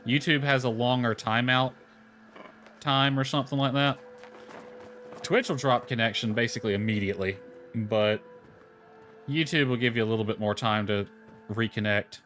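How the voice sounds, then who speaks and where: A man's voice: mid-range voice, steady tone, normal speed, pauses often, fairly bright tone. A man giving casual, rambling commentary on a game livestream, mildly grumbling as he goes. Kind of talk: casual gameplay stream commentary. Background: music.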